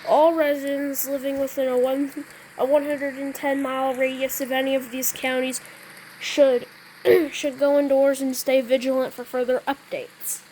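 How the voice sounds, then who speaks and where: Woman, high voice, amateur gameplay role-play, improvised delivery, light background noise. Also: level tone, normal speed, a little mellow, low-quality recording. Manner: stumbles over read-out wording, often clears throat. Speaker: gamer playing out an emergency alert scenario. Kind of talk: casual gameplay role-play with improvised mock weather bulletins.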